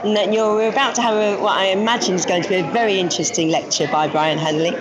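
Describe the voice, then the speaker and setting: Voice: medium pitch, steady tone, fast, a crowd in the background, rough recording. A radio presenter conducting a friendly live interview at a reception. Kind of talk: live outside broadcast interview. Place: busy reception event.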